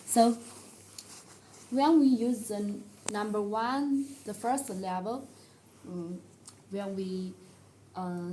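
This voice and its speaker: A woman who speaks English as a second language, presenting a product tutorial in a halting, step-by-step way. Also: mid-range voice, mellow, a little hiss or hum, poor audio. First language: not English. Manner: halting, step-by-step delivery with non-native phrasing.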